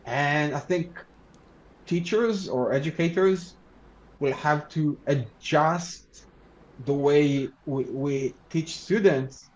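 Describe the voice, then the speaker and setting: A man whose first language is Hebrew, a law researcher and lecturer answering interview questions in a measured, halting way with frequent restarts. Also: on the high side, a little mellow, lo-fi sound. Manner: measured, halting, with many restarts and "you know".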